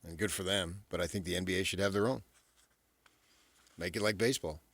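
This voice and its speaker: A man co-hosting a sports talk show in casual banter, blunt and quick to disagree. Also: sits low, fairly bright tone, no echo. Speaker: sports radio co-host.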